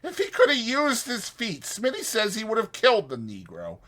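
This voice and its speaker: A man's voice: high voice, wildly swooping pitch, moderate pace, bright, forceful tone, voice pushed up, heavily acted. A man voicing a chatty old ranch swamper with rambling, folksy speech for a dramatized audiobook. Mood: excited, gossipy.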